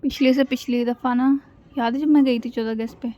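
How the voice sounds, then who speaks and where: Woman, high voice, casual conversation, animated storytelling, muffled low-fidelity sound. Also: fairly quick. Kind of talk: casual family chat. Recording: dull lo-fi home recording.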